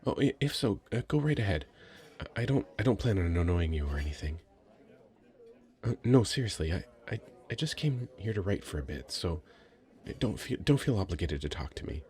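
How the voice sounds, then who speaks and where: A man playing a very shy, sweet young nerdy writer in an ASMR roleplay, hesitant, stammering and soft-spoken. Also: deep voice, very animated, a little fast. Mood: nervous, apologetic. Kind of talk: ASMR roleplay, addressing the listener.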